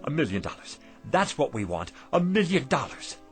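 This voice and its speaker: An actor playing a struggling, world-weary shopkeeper husband in a radio drama, skeptical and hungry for more. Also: male voice, fairly high pitch, big pitch swings, moderate pace, soft background music. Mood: excited, greedy.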